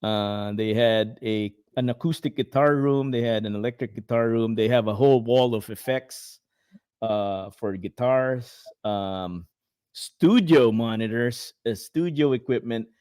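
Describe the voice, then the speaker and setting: A man's voice: mid-range voice, a little slow, a little mellow, clean tone, no echo, clean studio sound. A man with a Filipino accent, co-hosting a casual chat show, rambling with many fillers and the odd laugh. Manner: rambling, filler-heavy, laughs while talking. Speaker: podcast co-host.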